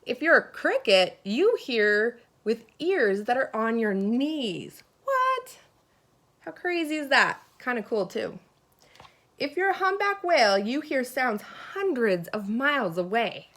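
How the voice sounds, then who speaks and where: A woman teacher reading a children's picture book aloud expressively, pausing to put questions and asides to her young listeners. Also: on the high side, very animated, fairly bright tone.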